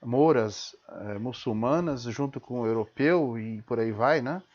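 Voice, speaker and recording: A man's voice: mid-range voice, unhurried, darkish tone. A man with a Brazilian accent giving an informal spoken lecture in an emphatic, didactic way, punctuated with rhetorical questions and short check-in tags. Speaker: host of a live-streamed talk. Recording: dull, lo-fi live stream audio.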